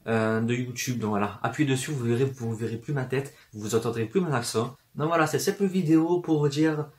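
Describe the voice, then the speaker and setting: Young man, southern French accent, hesitant vlog talk, stutters now and then, lots of fillers, middle-pitched voice. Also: fairly quick, darkish tone. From southern France. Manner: hesitant, frequent fillers and restarts; stutters at times. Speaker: book vlogger. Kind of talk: personal vlog to camera. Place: room full of books, indoors.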